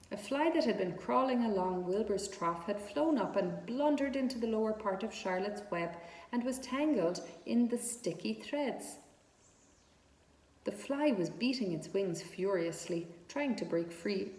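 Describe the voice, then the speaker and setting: A woman reading a storybook aloud as an expressive narrator. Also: medium pitch, measured pace, roomy sound, in a large hall, poor audio.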